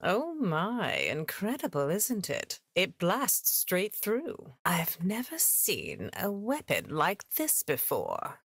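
A woman's voice: mid-range voice, wildly swooping pitch, slow pace, few pauses, fairly bright tone, slight background noise, full character voice. A woman playing a menacing, aloof older superhuman in an anime-style game, languid, taunting and coolly amused. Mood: mockingly amused.

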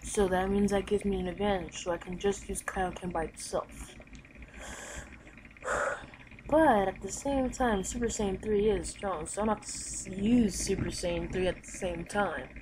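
A woman's voice: mid-range voice, unhurried. A woman narrating an improvised gaming roleplay in a hesitant way, with sentences that trail off. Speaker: gaming video creator playing a roleplay character. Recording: clearly noisy home recording.

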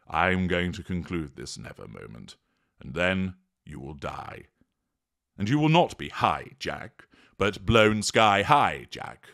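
A man voicing Death, an ancient male grim reaper who speaks in a formal, measured way with dry exasperation. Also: deep voice, gravelly, a bit bright, exaggerated voice.

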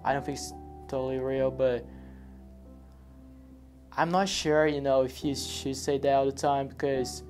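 A man's voice: mid-range voice, steady tone, unhurried, long pauses, darkish tone, faint music behind. A teenage boy, an immigrant student, speaking hesitantly with many fillers in a documentary interview. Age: teens.

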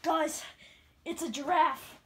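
A woman's voice: sits high, fairly even intonation, takes it slowly. A young woman co-hosting a playfully melodramatic, improvised mock-horror skit on a handheld camera. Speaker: vlog co-host. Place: dim carpeted home basement. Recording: noisy handheld camera in basement.

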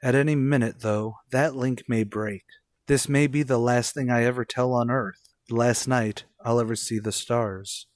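A man's voice: medium pitch, fairly even intonation, no room sound, close to an everyday voice. Actor as troubled man in a radio thriller. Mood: haunted, urgent. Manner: measured, dramatic narration. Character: anxious 36-year-old American man telling his eerie road story. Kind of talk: old-time radio thriller acting. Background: music.

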